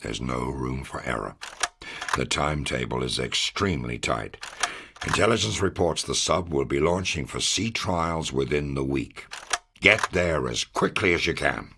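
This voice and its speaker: A man playing a commanding, confident American intelligence officer, delivering a measured, authoritative mission briefing in a video game. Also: very deep voice, lively intonation, noisy background. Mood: stern, urgent. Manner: authoritative, measured, matter-of-fact. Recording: music under the voice. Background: background music.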